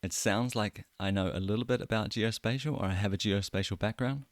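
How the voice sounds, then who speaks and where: New Zealand accent, man in his thirties, very low voice, dry close mic, earnest podcast host, self-deprecating, halting restarts. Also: no room sound. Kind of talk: solo podcast monologue.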